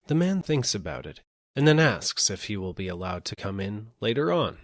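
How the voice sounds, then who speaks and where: A man with a low voice reads literary fiction as an audiobook narrator. His delivery is measured and deliberate, moving phrase by phrase. The recording is dry, with hardly any room sound. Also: very animated, dry recording.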